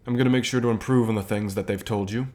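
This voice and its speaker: A man playing a calm, encouraging company boss in an ASMR roleplay, speaking in a measured, unhurried way with pauses. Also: fairly low pitch, lightly acted. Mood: earnest, reassuring. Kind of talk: ASMR roleplay, softly acted one-sided dialogue.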